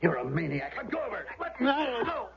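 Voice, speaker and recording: A dull old radio broadcast carries a dogged American man with a grave air. His voice sits a little high and has a gravelly grain, in 1970s radio drama style. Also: sing-song, normal speed, a loud crowd, heavily acted. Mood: alarmed, urgent.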